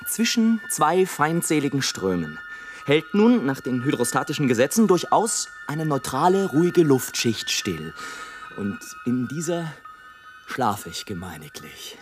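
An actor playing a rapturous, scornful airship balloonist in a theatrical, declamatory radio play monologue, swinging between grand exultation and hush. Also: male voice, medium pitch, very animated, unhurried. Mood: musing, confiding. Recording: studio radio play with music.

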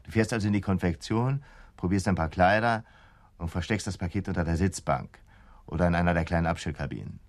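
Radio drama villain: a scheming militant ringleader, very deep and gravelly, sardonic. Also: male voice, brisk. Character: cold, scheming male leader of militant bomb plotters. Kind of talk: German radio drama acting.

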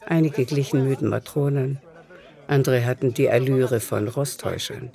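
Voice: mid-range voice, fairly even intonation, normal speed, creaky, warm tone, a faint crowd. A narrator reading a literary radio play in scripted storytelling style. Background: music.

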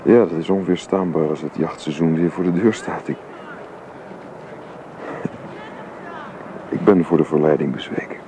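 An actor playing a grave, troubled father in a radio drama, speaking in a halting, solemn manner. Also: extremely low pitch. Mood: resigned. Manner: halting, solemn, repeats himself. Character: grave, troubled widowed father dismissing his housekeeper. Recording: dull, noisy old radio recording.

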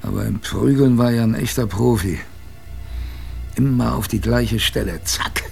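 An actor playing a gruff but fond old sailor grandfather, telling his memories in a salty, colloquial radio-play manner. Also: mid-range voice, darkish tone, soft background music. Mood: wistful reminiscing. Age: older. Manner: colloquial, salty storytelling with musing pauses. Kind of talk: radio drama first-person narration.

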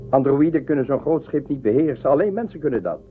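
A man's voice: medium pitch, expressive, fast talker, close to an everyday voice. Man playing a sly emperor, narrow-band sound. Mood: sly, assured. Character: sly, imperious emperor of a planet. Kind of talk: science-fiction radio play acting. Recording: narrow phone-like band, faint noise.

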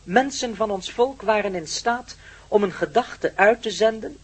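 An actor playing an ancient blue alien man in a radio play, formal and measured, with a superior air. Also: high voice, slightly rough. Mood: calm, explaining. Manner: formal, measured, disdainful of primitive technology.

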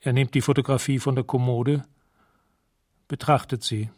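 A man narrating a radio drama in a measured, detached, matter-of-fact way, as if describing scenes. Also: medium pitch, little pitch movement, darkish tone, no room sound, studio quality, natural voice. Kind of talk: scripted present-tense radio drama narration.

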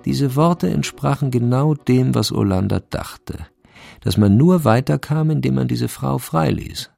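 An actor as the wry, measured narrator of a German radio crime drama, with a deliberate, dry delivery. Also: male voice, mid-range voice, animated, creaky, dark tone, faint music behind.

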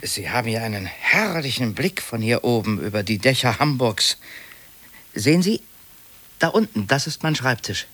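Wary, inquisitive office worker. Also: male voice, medium pitch, big pitch swings, normal speed, fairly bright tone. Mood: wary, probing. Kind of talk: naturalistic 1980s radio drama acting.

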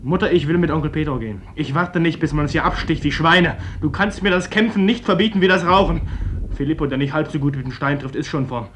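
Man as a high-voiced, defiant teenage village boy. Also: fast, a bit bright, natural voice. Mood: defiant, urgent. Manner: insistent, impatient, emphatic. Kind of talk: classic German radio play acting. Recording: faintly noisy old radio play recording.

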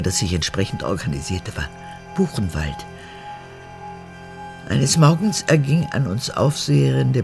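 An actress in a radio drama playing a cold, proud old mother and unrepentant former prison guard, haughty and emphatic, with halting phrases. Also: very deep voice, gravelly, darkish tone, heavily acted. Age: older. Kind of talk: German radio drama acting.

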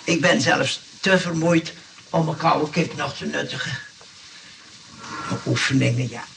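An actor playing a weary, fussy gentleman in a radio play, speaking hesitantly and formally, in a plaintive way that easily turns exasperated. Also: fairly high pitch, measured pace, creaky, distant mic, noisy background. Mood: puzzled, weary. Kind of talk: Dutch radio play acting.

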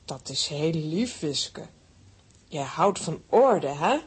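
A woman's voice: low-pitched, animated, slow pace, slightly rough, full character voice. A woman acting a fussy but fond middle-aged aunt in a children's audio drama. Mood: fond teasing.

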